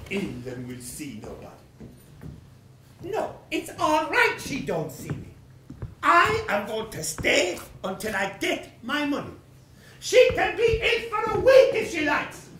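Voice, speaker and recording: A woman's voice: high-pitched, wildly swooping pitch, unhurried, sharp tone, slight background noise, full character voice. A woman playing a ranting, furious landowner. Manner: ranting, exclamatory, self-pitying outbursts. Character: blustering angry male landowner, middle-aged creditor. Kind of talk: stage play reading. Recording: roomy echo, from a distance.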